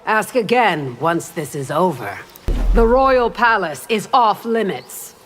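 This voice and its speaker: A dignified warrior guard in a fighting game. She is stern and formal, delivering curt lines at a slow pace over faint music. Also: medium pitch, lively intonation. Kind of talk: video game character dialogue.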